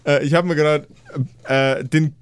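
A man's voice: on the high side, steady tone, measured pace, smooth, no echo. A man narrating an improvised tabletop game as game master, searching for words and slipping in jokes. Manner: hesitant, searching for words, jokey.

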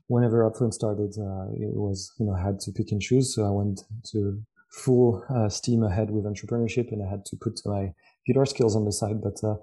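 Gravelly, mellow Frenchman in casual conversation. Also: on the low side, rough recording. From Lyon, France. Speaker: podcast guest, tech entrepreneur. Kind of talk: casual interview chat.

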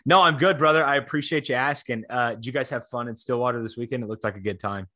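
Bright-voiced man hosting a sports podcast. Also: medium pitch, quick pace, no room sound, clean studio sound. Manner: laid-back, rambling, self-correcting. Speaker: podcast host. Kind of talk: casual sports podcast chat.

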